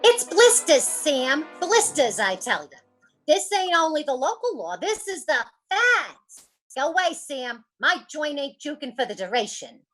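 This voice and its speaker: Woman as a defiant juke joint boss lady, radio noir, slangy, taunting, real high swoopy voice, takes her time. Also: big pitch swings, measured pace, fairly bright tone, soft background music, heavily acted, poor audio. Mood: defiant, evasive. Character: brassy, defiant female underworld juke-joint owner. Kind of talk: old-time radio gangster slang acting.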